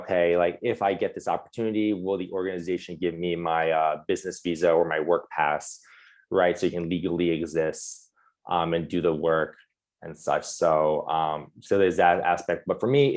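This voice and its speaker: Young guy on a webinar call, low voice, lots of ums and restarts. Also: a little mellow. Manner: halting, many fillers and restarts. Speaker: webinar panelist. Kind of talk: casual webinar talk.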